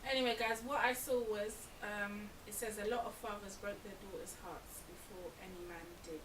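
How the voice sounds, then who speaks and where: A woman's voice: mid-range voice, steady tone, moderate pace, a bit bright, reverberant. A young woman, chatting bluntly and joking with friends on a podcast. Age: 20s. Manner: blunt, joking, laid-back. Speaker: podcast co-host. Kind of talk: casual chat among friends. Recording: distant mic with hiss.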